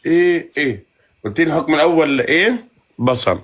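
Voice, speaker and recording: A man's voice: a little high, expressive, fast, fairly bright tone. A man hosting a casual live chat in banter, teasing, bargaining and often repeating himself. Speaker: live stream host.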